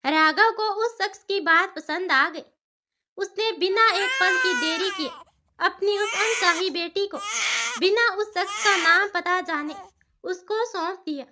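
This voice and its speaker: A woman narrates a cartoon story in a very high-pitched voice. Her tone is bright and forward, and she reads as a scripted storyteller. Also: takes it slowly, slight background noise. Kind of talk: scripted cartoon story narration in a Hindi moral-tale format.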